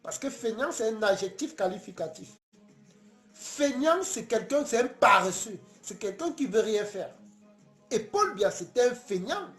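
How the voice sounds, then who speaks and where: A man from Cameroon, hosting a casual late-night live stream, talking earnestly and passionately with many halting restarts. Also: high voice, animated, a bit bright, echoey room, soft background music.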